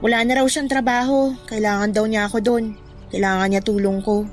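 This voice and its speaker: A woman's voice: medium pitch, steady tone, fairly quick, faint music behind, close to an everyday voice. A woman playing a young best friend in a radio drama. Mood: troubled. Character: playful, teasing young woman, boy's childhood best friend.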